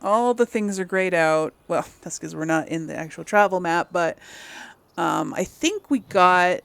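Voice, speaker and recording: A woman's voice: on the low side, expressive, unhurried, gravelly, a bit bright, clear, resonant tone, dry recording. A woman casually commentating on a game, rambling and thinking aloud in an easily amused, chatty way. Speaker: gameplay host.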